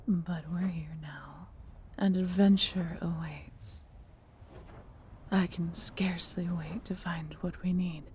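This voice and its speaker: A woman playing a proud, tender female dragon in a fantasy audio roleplay, with a breathy, theatrical, measured delivery. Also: lowish voice, fairly even intonation, warm tone, a little hiss or hum. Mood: proud, teasing. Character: proud, doting female dragon, adventurous and protective.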